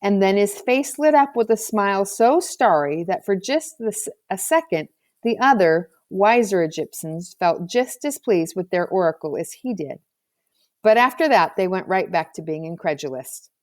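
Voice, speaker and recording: A woman reading a children's novel aloud as scripted narration, in an expressive storybook manner. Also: mid-range voice, moderate pace. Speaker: audiobook reader.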